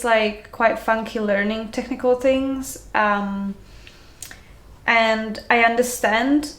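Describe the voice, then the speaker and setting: A woman's voice: on the high side, echoey room. A young woman from Slovakia with a mixed Slovak-Hungarian accent, chatting to camera in a casual, rambling way, full of ums, restarts and easy laughs. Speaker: yoga teacher vlogger.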